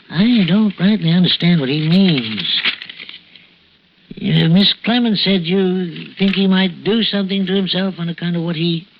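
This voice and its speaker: An actor playing a frail, friendly man with a halting, folksy manner in a 1940s radio drama. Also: a little high. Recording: muffled vintage radio, faint noise.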